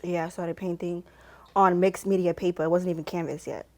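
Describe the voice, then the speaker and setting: A woman's voice: lowish voice, level tone, a little fast. Laid-back woman. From the Bronx, New York. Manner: laid-back, slangy, quiet. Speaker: artist, guest. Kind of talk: casual interview answers.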